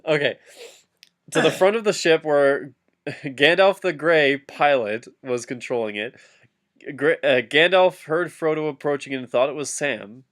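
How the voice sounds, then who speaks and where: A man's voice: on the high side, expressive, a little slow, fairly bright tone. A man doing a comic dramatic reading of fan fiction, giggly and often breaking off to heckle the text with asides.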